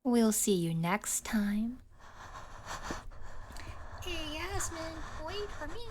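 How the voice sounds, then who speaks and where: A woman's voice: high voice, very animated, drawn-out words, a little mellow, slight background noise, exaggerated voice. A woman playing a smug school bully's sidekick in an ASMR roleplay, teasing and belittling in a mock-sweet way.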